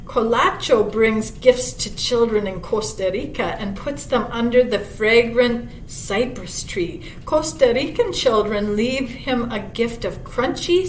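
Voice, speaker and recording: Slow-paced woman reading to children, roomy sound. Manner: addresses young listeners with tag questions. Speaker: library storytime reader. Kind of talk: read-aloud of a children's picture book with chatty asides. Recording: roomy, distant mic with clear low rumble noise.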